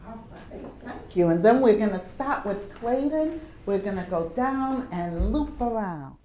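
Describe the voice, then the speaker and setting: A woman hosting a live educational television show, speaking deliberately with drawn-out emphasis on words. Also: mid-range voice, lively intonation, mellow, a little hiss or hum. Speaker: television show host and teacher. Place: live television taping at a community college.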